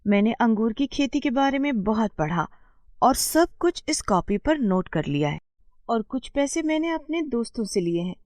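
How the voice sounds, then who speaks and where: A woman's voice: fairly high pitch, darkish tone, no room sound, pitched above the performer's own voice. A woman voicing a caring, hopeful young village sister in a cartoon moral story, speaking earnestly and reassuringly. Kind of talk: animated moral story character dialogue.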